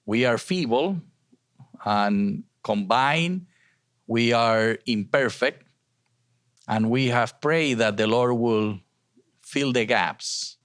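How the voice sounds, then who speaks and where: A man of average pitch speaks as an academic host in a reflective, earnest introduction. He places short phrases deliberately and with emphasis. The recording is dry and close. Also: fairly bright tone, no echo. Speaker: academic lecture series host.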